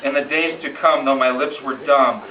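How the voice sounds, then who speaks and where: A man's voice: medium pitch, steady tone, normal speed, a crowd in the background. A man giving a dramatic, rhythmic recitation of a narrative ballad as an amateur reader, telling it like a story with emphatic phrasing. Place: room in a home, at a gathering. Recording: roomy distant muffled home recording, faint noise.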